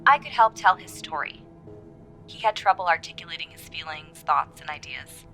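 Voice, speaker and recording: A woman's voice: low voice, animated, normal speed, sharp tone, faint music behind, heavily acted. An actress playing a guarded, wounded journalist in her twenties in an audio drama. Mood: wistful, defensive. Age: 20s.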